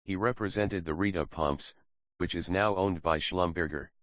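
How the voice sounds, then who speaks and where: A man in his fifties with a Russian accent, reading his own memoir aloud as an audiobook in plain, unhurried English that is not his first language. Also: deep voice, fairly even intonation, a bit bright, dry recording, muffled recording. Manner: plain, unhurried reading with non-native phrasing.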